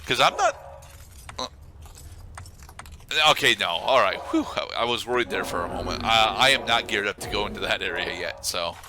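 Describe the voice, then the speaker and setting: A man giving casual video game commentary, explaining things in a halting, rambling way. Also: fairly low pitch, very animated, background noise. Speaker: let's play commentator. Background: video game music.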